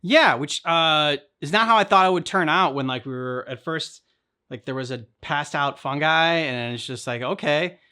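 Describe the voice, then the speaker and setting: A man hosting a reaction show, chatting casually in rambling, halting trains of thought. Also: on the high side, pushed and bright, clean tone. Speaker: reaction channel host.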